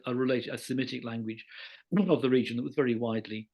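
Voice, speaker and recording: A man's voice: mid-range voice, level tone, quick pace, gravelly, darkish tone. A man giving erudite, explanatory interview answers as a guest historian, often rephrasing as he goes and stressing key words.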